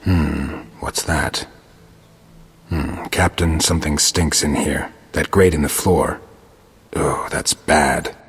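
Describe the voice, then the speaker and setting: A man playing a gruff, sardonic, world-weary monster hunter in a video game, with a gravelly rasp and a dry, terse delivery. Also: rumbling low, fairly even intonation, slow pace, big-room sound, full character voice. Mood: curt.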